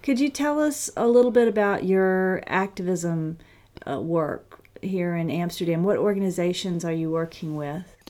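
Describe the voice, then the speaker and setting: A woman's voice: fairly low pitch, normal speed, warm tone. A woman conducting an interview, asking brief, plain questions.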